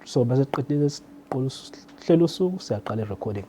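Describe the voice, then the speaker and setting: A man's voice: medium pitch, expressive, quick pace, dark tone, slight background noise. A South African man, a native Zulu speaker, casually explaining his technical production work in an interview. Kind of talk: casual explanatory interview answers, mixing languages. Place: radio drama studio.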